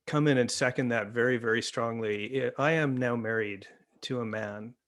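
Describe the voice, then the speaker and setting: A man in his sixties on a discussion panel, with noticeable vocal fry and a medium pitch. He argues from personal experience, earnest and emphatic. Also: normal speed, a bit bright. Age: 60s.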